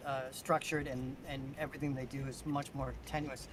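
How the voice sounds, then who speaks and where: A man's voice: medium pitch, little pitch movement, moderate pace, slight background noise. An American man chatting casually in a group conversation. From United States.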